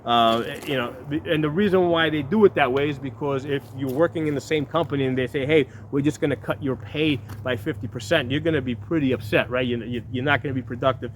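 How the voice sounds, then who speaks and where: A man's voice: mid-range voice, brisk, hardly any pauses, slight background noise. A man around fifty talking casually to his viewers in a blunt, rambling way, restarting words and asking "right?" again and again. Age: 50s. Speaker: video host. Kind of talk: casual talk to camera.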